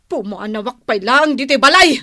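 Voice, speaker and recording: High-voiced suspicious Ilocano wife. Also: female voice, animated, normal speed, noisy background. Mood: angry, confronting. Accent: Filipino, Ilocano. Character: suspicious wronged wife and mother, fed up with husband. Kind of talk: Ilocano radio drama acting.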